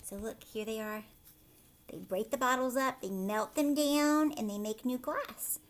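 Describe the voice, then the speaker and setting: A woman's voice: on the high side, a little slow. A woman teacher reading a picture book aloud to children, expressively, with chatty commentary and questions along the way. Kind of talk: storybook read-aloud with casual commentary for children.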